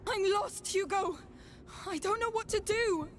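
A woman's voice: very high voice, unhurried, slight background noise. An actress playing a frightened but determined young woman in a video game, breathless and anguished as she searches for her brother. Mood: anguished, pleading. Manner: breathless, anguished, muttering to herself and calling out. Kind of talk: dramatic video game acting.

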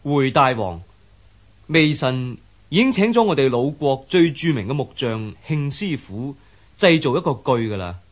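An actor playing a respectful, cautious minister of an ancient court in a radio drama, formal and earnest, with a Hong Kong Cantonese accent. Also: male voice, medium pitch, sing-song, unhurried. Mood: deferential.

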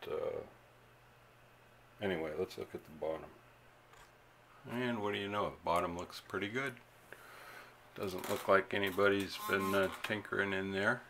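A man's voice: sits low, gravelly, bright, forceful tone, a little hiss or hum. A man narrating a casual hands-on demonstration as a hobbyist, unscripted and laid-back. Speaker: radio hobbyist host. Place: indoor home radio workbench.

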